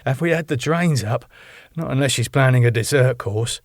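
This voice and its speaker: A man's voice: mid-range voice, normal speed, dry recording, clean studio sound, close to an everyday voice. A man reading the part of a flustered young venue manager who hesitates and dodges questions. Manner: hesitant, full of uhs.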